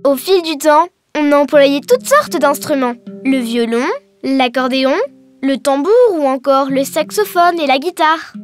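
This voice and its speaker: Cartoon schoolgirl, played by a woman: high, cheerful, encouraging explainer, scripted lines over soft music. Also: lightly acted. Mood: explanatory. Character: cheerful young Breton girl teaching her alien friend. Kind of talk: scripted educational cartoon dialogue. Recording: music behind the voice.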